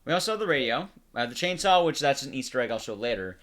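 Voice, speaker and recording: A man's voice: mid-range voice, sing-song, quick pace, a bit bright. A man giving casual live gameplay commentary in a conversational way, explaining things to his audience. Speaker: streamer and commentator.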